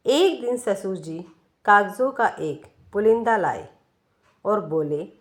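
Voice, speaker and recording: Female narrator for an emotional first-person short story, unhurried delivery, mid-range pitch, expressive measured reading.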